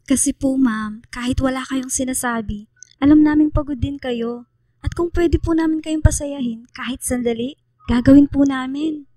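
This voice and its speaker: A woman's voice: high voice, fairly even intonation, moderate pace, dark tone, no room sound. A woman voicing a caring, earnest teenage schoolgirl in a radio drama. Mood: concerned, respectful.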